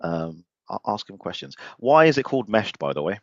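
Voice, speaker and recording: A man of about forty with a very deep voice hosts the chat, firing off questions quickly in a joking, informal way. His sound is dull and dry, with no room around it. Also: sing-song, vocal fry, dry recording. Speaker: podcast host. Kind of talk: casual interview chat.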